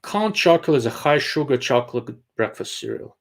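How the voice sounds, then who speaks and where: A man's voice: medium pitch, expressive, brisk. A man hosting a live stream, reading viewer questions and answering them bluntly while thinking aloud with frequent hesitations. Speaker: livestream host answering viewer chat. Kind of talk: casual live-stream chat.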